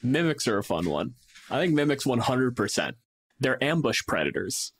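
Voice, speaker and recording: A man's voice: mid-range voice, big pitch swings, normal speed, husky, no room sound. A man co-hosting a podcast, chatting casually and bluntly with plenty of swearing as he argues his opinions. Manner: blunt, profane. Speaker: podcast co-host and game master. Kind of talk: casual opinionated debate chat.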